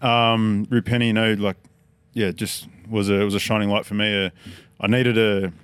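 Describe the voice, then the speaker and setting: Deep-voiced, smooth Australian man. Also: normal speed, no echo. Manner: relaxed, rambling storyteller. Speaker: podcast guest. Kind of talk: casual chat.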